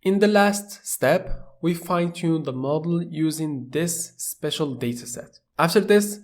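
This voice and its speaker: A man from Morocco, narrating a tutorial course in a plain, steady explaining manner. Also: a little high, measured pace. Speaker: course instructor.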